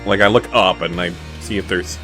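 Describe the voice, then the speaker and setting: A man's voice: low-pitched, fairly even intonation, fairly quick, bright, forceful tone, soft background music, poor audio. A man playing a tabletop roleplaying game, chatting casually with joking asides as he says what his character does.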